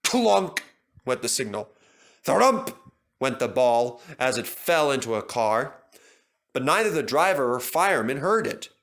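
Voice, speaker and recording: Animated male children's storyteller, bright voice. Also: mid-range voice, measured pace. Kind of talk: scripted children's story narration.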